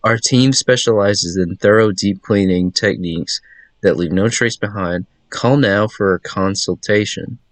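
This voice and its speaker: A man's voice: fairly low pitch, a little slow, dry recording, natural voice. A man voicing a polished advertiser in a video game, reading out a scripted commercial. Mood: smooth selling.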